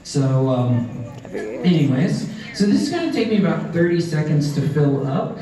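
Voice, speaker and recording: A man's voice: a little high, very animated, normal speed. A young man giving a live science demonstration to a crowd, explaining each step in an upbeat way to the kids watching. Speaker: science educator. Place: large indoor event hall. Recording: echoey hall, far from the mic, crowd behind. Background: crowd chatter.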